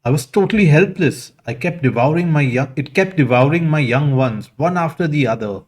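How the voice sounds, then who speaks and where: A man's voice: a little high, lively intonation, fairly quick, a little mellow, slightly rough. A man voicing a helpless, plaintive parent bird resigned to its lot in a told fable. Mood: distressed, resigned.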